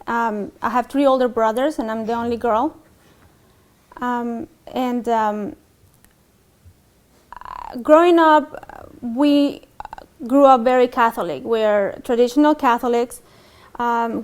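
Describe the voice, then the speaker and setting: Mexican woman recounting her story, halting. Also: fairly high pitch, fairly even intonation, smooth. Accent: Mexican Spanish. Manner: hesitant, many fillers and restarts. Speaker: interviewee. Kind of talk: documentary interview, personal story.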